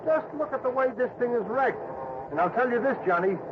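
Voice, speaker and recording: An actor playing a chatty, blunt police sergeant in a 1960s radio drama, folksy, emphatic and sure of himself. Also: male voice, extremely high pitch, animated, brisk. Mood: matter-of-fact, insistent. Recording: noisy, muffled old radio broadcast.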